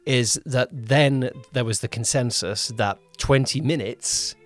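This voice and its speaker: A man's voice: medium pitch, a little slow, soft background music. A man co-hosting a casual behind-the-scenes podcast as a producer, rambling and often rewording himself mid-sentence. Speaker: podcast co-host, audio drama producer-director.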